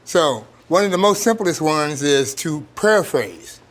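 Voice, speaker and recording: Man, slightly high voice, workshop trainer, plain-spoken, informal, repeats key phrases. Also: sing-song, a little slow, fairly bright tone. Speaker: trainer, program coordinator.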